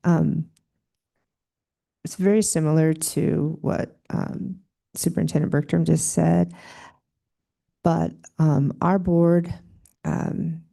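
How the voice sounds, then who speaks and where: Brief: soft-spoken superintendent giving hearing testimony, gravelly and dark-toned. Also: mid-range voice. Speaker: school superintendent.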